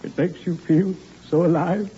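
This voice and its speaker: An actor playing a cheerfully morbid, genteel undertaker in a 1940s radio comedy, with formal, measured, unctuous delivery. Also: male voice, on the high side, steady tone, close to an everyday voice. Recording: noisy, dull old radio recording.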